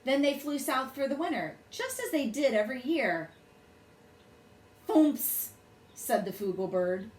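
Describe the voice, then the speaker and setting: Female children's storyteller: very lively, high-ish pitch, unhurried dramatic delivery, roomy recording. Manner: deliberate, dramatic phrasing for children. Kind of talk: expressive bedtime story read-aloud. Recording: roomy echo, faint background noise.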